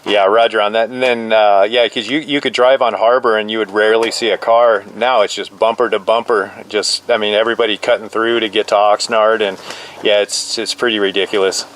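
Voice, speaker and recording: Lowish-voiced man in casual radio chat. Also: steady tone, fairly bright tone, a little hiss or hum, low-quality recording. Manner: agreeable, halting, filler-heavy with many repeated "like"s. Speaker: amateur two-way radio operator.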